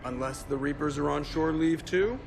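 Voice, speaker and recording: A man's voice: a little high, normal speed, creaky, background noise. A man playing an easygoing, joking soldier comrade in a video game. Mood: teasing. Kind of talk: cinematic game voice acting.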